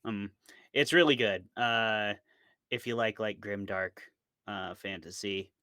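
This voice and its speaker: A man with a fairly low voice talks casually as an interview guest. He explains things in a loose, rambling, conversational way. The sound is very dry, with no room to it. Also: fairly even intonation, slow, pushed and bright, clear, resonant tone, dry recording. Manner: informal, rambling, explains with examples. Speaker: interview guest, author and podcaster. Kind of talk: casual chat about fiction.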